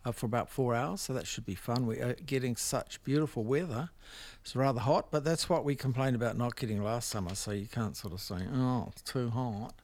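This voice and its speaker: Man, New Zealand accent, medium pitch, casual music show host, rambling, hesitant, relaxed chat. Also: a little fast. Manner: rambling, hesitant, laid-back. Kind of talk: casual unscripted radio DJ chat.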